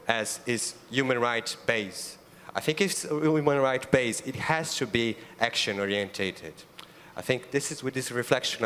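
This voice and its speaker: A man's voice: medium pitch, bright, forceful tone, long reverb tail, slight background noise. A young man from Brazil with a Brazilian Portuguese accent, a native Portuguese speaker, giving an earnest panel statement with frequent ums and restarts. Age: young adult. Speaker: youth ambassador panelist. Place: large conference auditorium.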